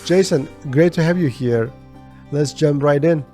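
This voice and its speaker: A man's voice: on the high side, unhurried, music in the background. A man hosting an interview podcast, giving upbeat, promotional introductions and asking questions.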